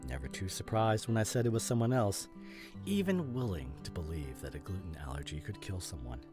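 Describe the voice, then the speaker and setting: A man playing a smug amateur detective, delivering a grandiose, deliberate monologue with dramatic pauses. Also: sits low. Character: smug, theatrical male amateur detective unmasking a culprit. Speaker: voice actor in an audio roleplay. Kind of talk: theatrical scripted ASMR roleplay monologue.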